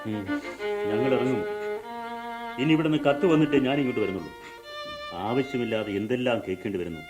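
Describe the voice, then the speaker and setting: An actor playing a defensive grown son who is a young lawyer, in an old radio play. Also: male voice, medium pitch, close to an everyday voice. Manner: quick and defensive. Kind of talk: 1970s radio drama acting. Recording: radio play with music behind.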